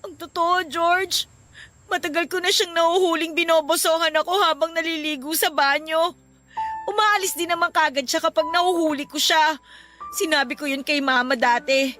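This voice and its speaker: A woman's voice: high voice, fairly even intonation, moderate pace, pushed and bright, soft background music. An actress with a Filipino accent playing a distressed young girlfriend in a radio drama, pleading and plaintive. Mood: fearful, aggrieved. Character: distressed young girlfriend who ran away from home.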